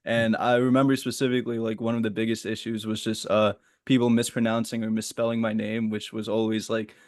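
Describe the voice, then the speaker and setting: A young man raised in Florida, a guest on an interview podcast, answering in an earnest, measured way. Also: on the low side, level tone. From Florida, USA. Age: young adult. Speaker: guest, youth volunteer.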